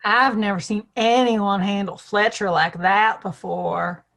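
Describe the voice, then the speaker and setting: Woman playing sharp-tongued western saloon woman. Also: medium pitch, fairly even intonation, unhurried, vocal fry. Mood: impressed. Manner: mocking, disgusted jibes. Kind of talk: audio play acting, western.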